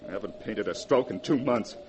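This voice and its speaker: A jumpy, sleepless painter. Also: male voice, lowish voice, expressive, brisk. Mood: distraught. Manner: tense, nervous. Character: troubled male painter, sleepless and nervy. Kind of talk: 1940s radio acting. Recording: noisy old radio broadcast.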